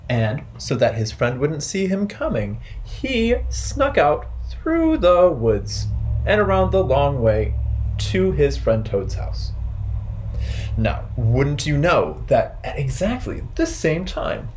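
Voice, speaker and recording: A man in his thirties telling stories and rhymes to small children as a storytime host, in playful, simple, deliberate phrasing. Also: on the high side, wildly swooping pitch, darkish tone, slight background noise, poor audio. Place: bright indoor room with wooden floor.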